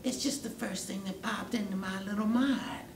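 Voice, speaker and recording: In a roomy recording, a man acts out a sly, selfish storybook cat. The voice is coy and sing-song, falsely innocent, often leaping high above normal speech. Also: fairly even intonation, normal speed. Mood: feigned innocence. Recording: roomy, distant mic, faint rumbling noise.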